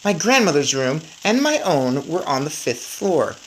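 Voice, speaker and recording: Female story reader, low register, very animated delivery, some background noise. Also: a little slow, rough recording. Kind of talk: expressive children's audiobook-style read-aloud.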